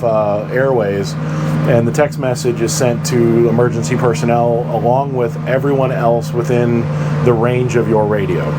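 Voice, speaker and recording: A man with a medium-pitched voice talks through gear in an informal, practical way. He is plain-spoken and explanatory, like someone hosting a buyer's guide. There is clear background noise behind him, like air conditioning. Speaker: boating gear reviewer and host.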